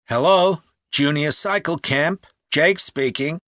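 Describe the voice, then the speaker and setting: A man's voice: on the high side, bright, forceful tone, no echo, studio quality. A man playing a helpful, upbeat camp manager explaining things to a caller in an acted listening-test dialogue. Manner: informative, measured, upbeat. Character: helpful, upbeat male cycle camp manager taking a call.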